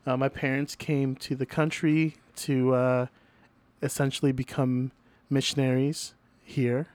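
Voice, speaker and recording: A young man from Venezuela telling his story as a podcast guest, loose and goofy, laughing between thoughts. His voice sits at a middle pitch. The sound is dry and close. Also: level tone, measured pace, darkish tone, dry recording. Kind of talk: casual chat.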